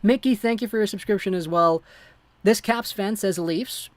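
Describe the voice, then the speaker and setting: A man's voice: sits high, normal speed, no echo. Chatty young man hosting a livestream. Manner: chatty, thanks subscribers, reads chat aloud. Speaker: livestream host. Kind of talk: casual live chat, reading viewer messages.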